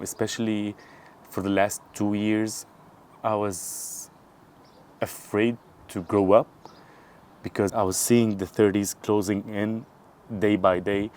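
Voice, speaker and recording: Man of 30, low-pitched, thoughtful vlog monologue outdoors over clear guitar music. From Syria. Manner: earnest, thoughtful, self-searching. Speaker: vlogger. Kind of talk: reflective personal monologue. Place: outdoors in a small town. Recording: guitar music playing underneath.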